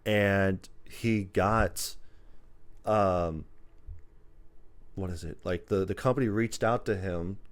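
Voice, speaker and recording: A man's voice: sits low, takes it slowly, long pauses. A man chatting casually as a podcast co-host, with slangy, informal wording. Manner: slangy, informal, occasional swearing. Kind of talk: casual chat about movies and games.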